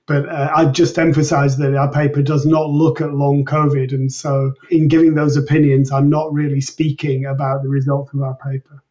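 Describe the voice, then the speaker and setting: A man's voice: a little high, level tone, moderate pace, hardly any pauses, dark tone. A man giving careful, precise explanations as an expert guest in an interview. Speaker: interview guest, medical researcher. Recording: distant, dull, lo-fi remote line.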